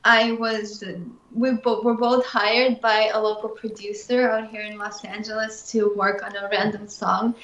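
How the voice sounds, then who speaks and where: A woman from Russia, a singer guest in an interview, talking in an animated way with many fillers. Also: medium pitch, measured pace, reverberant, highs cut off. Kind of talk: casual interview.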